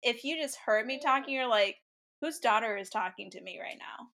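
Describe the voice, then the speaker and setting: A woman's voice: normal speed, creaky. Giggly young woman with a girlish voice. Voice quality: high, girlish voice. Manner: giggly, animated storyteller. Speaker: podcast co-host. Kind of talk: casual chat.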